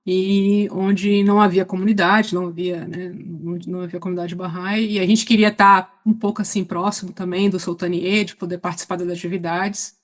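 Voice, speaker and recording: A middle-aged woman with a Brazilian accent chatting casually as a guest, halting as she searches for words. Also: lowish voice, level tone. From Salvador, Brazil. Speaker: podcast guest. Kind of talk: casual autobiographical chat.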